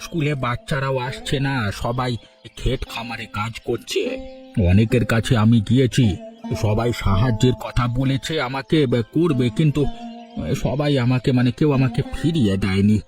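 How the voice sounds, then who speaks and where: A man voicing a patient, humble old village schoolteacher in a cartoon, who speaks gently and calmly. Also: mid-range voice, normal speed, over music, lightly acted. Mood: gentle. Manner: gentle, calm, pleading. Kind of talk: cartoon voice acting.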